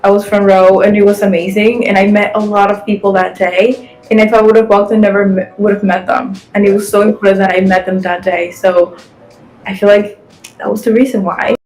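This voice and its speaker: A woman's voice: mid-range voice, level tone, fairly quick, darkish tone, poor audio. An eighteen-year-old woman from Guatemala, a podcast guest chatting casually and filling her speech with "like". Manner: chatty, frequent "like" fillers. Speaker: guest, fashion influencer and model.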